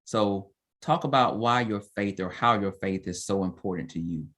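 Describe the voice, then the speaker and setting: Male host, lowish voice, encouraging interviewer asking open questions. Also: normal speed, fairly bright tone. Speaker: podcast host. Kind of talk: interviewing, casual chat.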